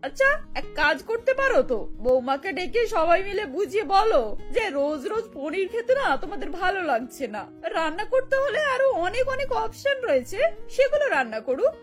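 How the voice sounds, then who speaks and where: A woman's voice: very high-pitched, sing-song, normal speed. A woman voicing a nosy, gossipy older neighbour in a cartoon, teasing and exclaiming. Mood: advising. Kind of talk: Bengali cartoon voice acting. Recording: music bed behind the voice.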